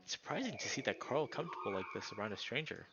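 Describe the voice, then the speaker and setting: A man narrating a visual novel aloud as a streamer, with informal, expressive asides. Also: medium pitch, quick pace, dull audio, background noise.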